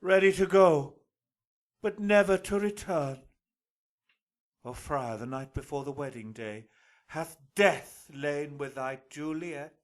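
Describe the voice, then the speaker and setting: Grieving patriarch, male actor, classical radio play: fairly high voice, very slow declamation, proud and lamenting. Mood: grief-stricken. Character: imperious noble father broken by grief. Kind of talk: Shakespearean radio drama acting.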